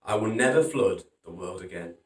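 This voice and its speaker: A man as solemn, booming storybook God. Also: animated. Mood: solemn, promising. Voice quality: deep, booming. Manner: solemn, commanding, measured. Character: God, solemn commanding male voice from the clouds. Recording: roomy echo, distant from microphone.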